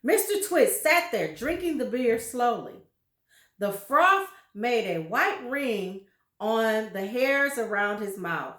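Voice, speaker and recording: A woman reading a children's story aloud with deliberate, dramatic emphasis and brief asides to her listeners. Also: fairly high pitch, sing-song, fairly bright tone, reverberant.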